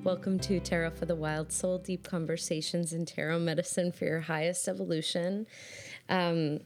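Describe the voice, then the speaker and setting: A woman's voice: lowish voice, flat delivery, moderate pace, a little mellow, soft background music. A woman hosting a podcast with a scratchy voice today, talking in an effusive, gushing way with many fillers. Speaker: podcast host. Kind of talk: casual podcast chat.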